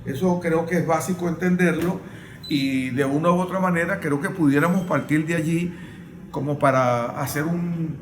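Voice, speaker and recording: A Venezuelan man speaking as a panelist in an unscripted political discussion, explaining in a halting way. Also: fairly high pitch. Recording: roomy, distant microphone.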